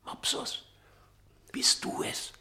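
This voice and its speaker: An actor in a German radio play playing an old, suspicious, miserly master, commanding and self-pitying, with a gravelly, husky voice. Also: male voice, slow pace, in a whisper, music in the background, natural voice. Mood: stern, probing.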